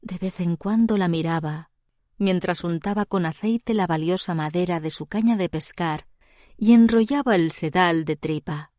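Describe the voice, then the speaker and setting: A woman narrating a literary tale as a storyteller, in a measured, dramatic scripted delivery. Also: lowish voice, darkish tone, no room sound, clean studio sound.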